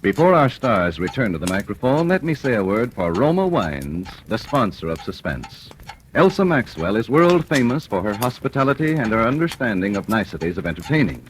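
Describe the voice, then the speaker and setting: A male radio announcer reading a sponsor advertisement in a smooth, polished 1940s style. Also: on the low side, very animated, fairly quick. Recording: noisy old radio broadcast.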